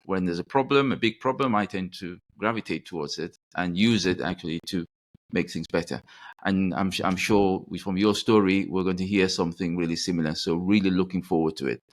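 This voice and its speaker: African-born male host with a deep voice, earnest and encouraging interviewer. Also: brisk. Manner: earnest, encouraging, repeats words. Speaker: podcast host. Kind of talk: interview conversation.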